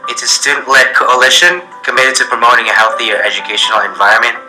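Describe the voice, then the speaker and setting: A man's voice: medium pitch, steady tone, fast talker, low-quality recording. A young man giving a prepared statement in an interview as a student group's president. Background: music.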